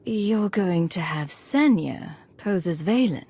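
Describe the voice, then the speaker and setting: An actress playing a measured, doubtful female space crew member in a video game. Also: lowish voice, lively intonation, warm tone, breathy edge, full character voice. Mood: wary.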